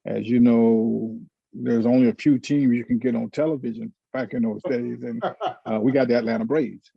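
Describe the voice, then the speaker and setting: A middle-aged man from Florida reminiscing casually in a panel discussion, halting often with fillers and restarts. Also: medium pitch, fairly even intonation, gravelly, breathy edge, no echo. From Florida, United States. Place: virtual panel over video call. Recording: dull, lo-fi video call.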